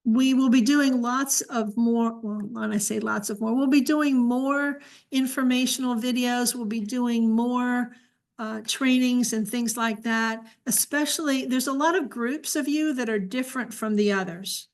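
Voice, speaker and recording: Woman, fairly high voice, informal presenter running a staff briefing and taking questions. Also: steady tone, a little slow.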